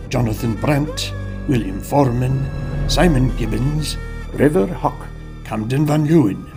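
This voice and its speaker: Solemn horror storyteller for radio, medium pitch, deliberate and suspenseful, music clearly playing behind. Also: male voice. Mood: formal. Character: solemn storyteller narrator of a gothic horror tale. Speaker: narrator. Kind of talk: scripted dramatic narration for radio. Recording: prominent music behind the narration.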